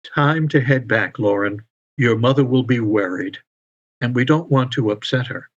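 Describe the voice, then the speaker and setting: A man voicing a warm, poetic, stargazing father in a storybook reading, tender and declamatory. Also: mid-range voice, moderate pace, dull audio, lightly acted. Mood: warm, gentle.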